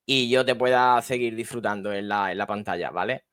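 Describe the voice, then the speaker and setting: A man's voice: on the low side, a little fast, fairly bright tone, smooth, dry recording, clean studio sound. A man hosting a podcast, asking short, friendly interview questions and giving brief prompts. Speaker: podcast host. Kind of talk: quick-fire interview questions.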